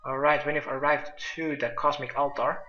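A man's voice: mid-range voice, little pitch movement, fairly quick. A man giving step-by-step instructional commentary for a video game walkthrough. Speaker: gamer presenting a quest guide. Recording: muffled lo-fi roomy mic, music behind.

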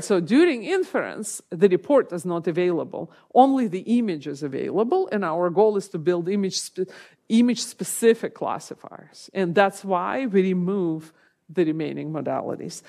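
Woman lecturing on a conference stage. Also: mid-range voice. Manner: thoughtful, explanatory, frequent self-corrections. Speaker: keynote speaker, professor. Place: large lecture hall, speaking from a stage.

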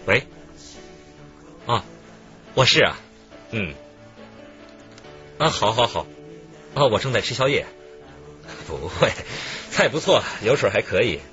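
A man's voice: fairly low pitch, very animated, takes it slowly, pauses often, a bit bright, heavily acted. An actor playing an ingratiating, falsely genial businessman conman in a radio drama. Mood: genial, suave. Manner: chuckling, ingratiating. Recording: muffled, music behind.

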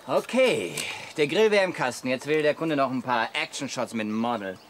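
Smooth, gushing photographer who orders people around, played by a man, animated delivery, radio play with faint outdoor sounds behind. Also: mid-range voice, moderate pace, a little hiss or hum, lightly acted. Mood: businesslike. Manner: gushing, directive, slick. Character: smooth-talking, bossy advertising photographer. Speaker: actor in a radio comedy. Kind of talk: German radio play acting.

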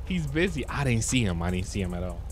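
A man chatting excitedly in voice chat while playing a roleplay game, often laughing and exclaiming. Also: fairly low pitch, quick pace, faint music behind. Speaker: streamer playing a civilian in a roleplay server. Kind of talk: casual voice chat while gaming.

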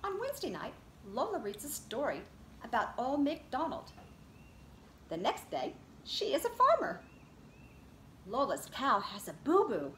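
A woman's voice: high voice, huge pitch swings, unhurried, pauses often, a bit bright. A middle-aged woman, a preschool teacher reading a picture book aloud and talking expressively to young children. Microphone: camera a short distance away. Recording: roomy echo, clear background noise.

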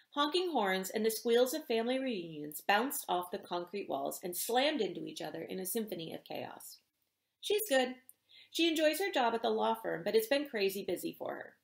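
A middle-aged woman, an author reading her romance novel aloud in an expressive narrating style. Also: medium pitch, creaky. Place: indoor room with bookshelves. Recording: roomy indoor echo.

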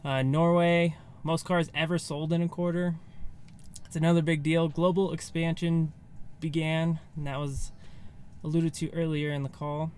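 American man, thirties, slightly high voice, informal vlog delivery, thinking aloud, in a car, faint background noise. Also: level tone, normal speed, darkish tone. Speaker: vlogger. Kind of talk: casual off-the-cuff recap to camera. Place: inside a parked car.

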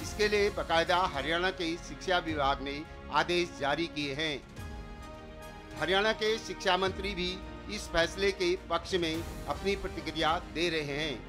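A man with an Indian accent delivers scripted news narration. His voice is notably high for a male reporter, with music clearly playing underneath. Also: moderate pace. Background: background music.

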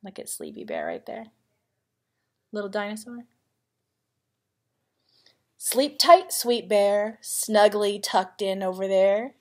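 A woman's voice: medium pitch, sing-song, takes it slowly, long pauses, slight background noise. A woman reading a children's picture book aloud in a playful, dramatic manner, with chatty asides to young listeners. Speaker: elementary school vice principal reading to pupils.

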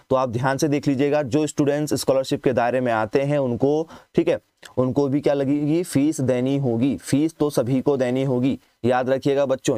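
Mellow-voiced Indian man teaching students. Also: medium pitch, smooth, no echo. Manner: repetitive, asks students questions then answers them. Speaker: coaching teacher. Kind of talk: explaining admission fees to students. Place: voice-over on scanned documents.

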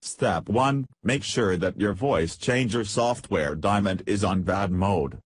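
A man narrating a scripted software tutorial in a flat, read-aloud instructional way. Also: fairly low pitch, takes it slowly, breathy edge, no echo, studio quality.

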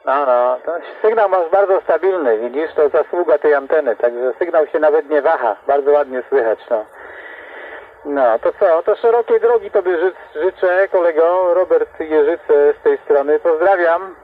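A man's voice: fairly high pitch, moderate pace, a little hiss or hum. A man chatting casually as an operator over a CB radio. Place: heard through an external radio speaker. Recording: tinny, narrow CB radio transmission.

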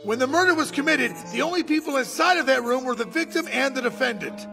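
An actor in an anime dub playing a bumbling, loud, earnest police detective with a folksy, blustering manner. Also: male voice, very high-pitched, lively intonation, fast talker, gravelly, over music, heavily acted. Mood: earnest, formal.

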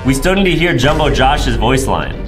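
A man's voice: mid-range voice, sing-song, moderate pace. A young man co-hosting a gaming video with playful banter, speaking in a mock-earnest way and repeating short phrases. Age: young adult. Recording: music behind the voice. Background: background music.

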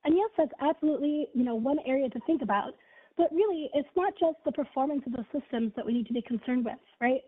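A woman's voice: high voice, quick pace. A woman with a scratchy voice from being ill presents a webinar as a product manager, in an upbeat way with many fillers like "you know" and "right". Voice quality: husky. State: scratchy voice from illness. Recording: tinny phone-line audio.

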